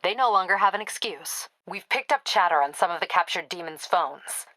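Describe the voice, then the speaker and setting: Video game lady cop captain, lowish sharp voice, clipped and dry humored, no nonsense, super dry studio sound. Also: lively intonation, no room sound, rough recording. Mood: businesslike. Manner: brisk, clipped, dryly sardonic. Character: tough, wry, no-nonsense female police captain.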